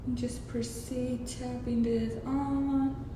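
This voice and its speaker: A young woman with a Mexican accent talks her way through a makeup tutorial, loose and profane, with chatty asides. Her voice sits a little high. The room is roomy with a long echo, and there is a steady hiss underneath. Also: slow. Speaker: beauty vlogger.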